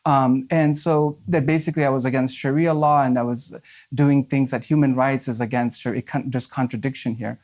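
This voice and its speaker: Author guest: man, forties, mid-range pitch, earnest and searching, lots of "um" and restarts. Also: fairly even intonation, moderate pace, darkish tone. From Afghanistan, raised in Southern California. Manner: earnest, frequent fillers and restarts, laughs often. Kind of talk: conversational interview.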